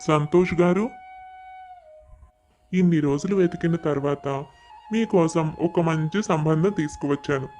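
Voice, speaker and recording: A man's voice: fairly high pitch, measured pace, pauses often, darkish tone, faint music behind, lightly acted. A man playing an eager, deferential village matchmaker in a moral story, respectful and persuasive. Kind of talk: animated moral story dialogue.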